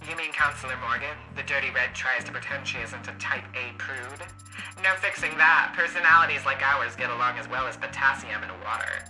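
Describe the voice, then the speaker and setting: A woman voicing a brash, flamboyant, chatty female android court adjutant in a video game, loud and theatrical. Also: a bass voice, brisk, faint music behind, heavily acted, rough recording. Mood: boastful, breezy. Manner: loud, theatrical, swooping, playful. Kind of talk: video game character voice acting.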